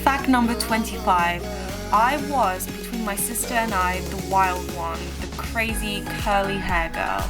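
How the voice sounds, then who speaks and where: A woman in her twenties, raised in France, earnestly reading out numbered facts about herself as a vlogger. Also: medium pitch. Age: 20s. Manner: earnest, measured, announces each numbered fact. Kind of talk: reading a list of personal facts. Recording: voice-over with clear background music. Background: background music.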